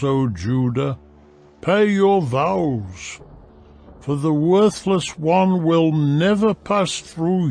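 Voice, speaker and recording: A man narrating a dramatized audio Bible in a solemn, deliberate, declamatory manner. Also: a little high, a little mellow. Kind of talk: dramatized scripture reading. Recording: music behind the voice. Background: music.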